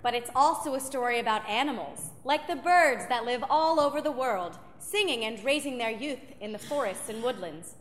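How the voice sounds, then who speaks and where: A woman who sings soprano narrates a story on stage with an expressive storyteller's delivery. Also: on the high side, normal speed, hardly any pauses. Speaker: soprano opera singer and narrator. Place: opera house stage, live show. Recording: echoey hall.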